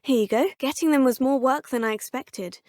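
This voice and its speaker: Woman voicing a young British witch student, video game, crisp, polite, lightly wry, slightly high voice. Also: a little fast, darkish tone, dry recording, close to an everyday voice. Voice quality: crisp, well-spoken. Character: young female wizarding student, curious and polite. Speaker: player character in a video game. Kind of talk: game voice clips. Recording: dry studio voice-over.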